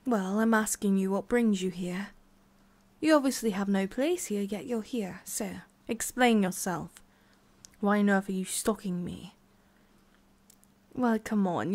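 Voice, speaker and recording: An imperious tsundere witch, centuries old and disdainful of humans, performed by a woman. She speaks slowly at a middling female pitch, addressing the listener directly in an ASMR roleplay. Her manner is condescending and scolding, with theatrical pauses. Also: darkish tone, close to an everyday voice.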